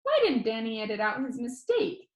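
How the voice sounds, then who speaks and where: A woman narrates a children's novel in the first person. Her voice sits high and moves in lively, expressive swings, as in read-aloud storytelling. The room adds a noticeable echo. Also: moderate pace.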